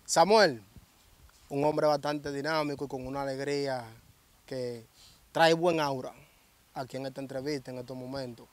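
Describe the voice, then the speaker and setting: A young man asking interview questions in a measured, earnest way, outdoors on a handheld mic. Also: medium pitch, fairly even intonation. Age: young adult. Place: outdoors under a tree in a grassy field.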